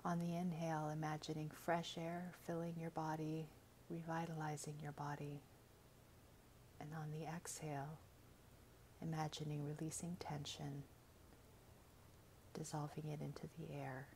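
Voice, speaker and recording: A woman reading a scripted guided meditation in a gentle, soothing, measured way, with soft, breathy phrase endings. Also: sits low, level tone, a little hiss or hum.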